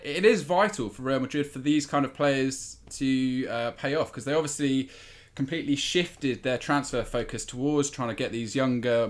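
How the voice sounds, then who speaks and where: A man giving conversational football analysis as a podcast pundit, measured and articulate. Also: mid-range voice.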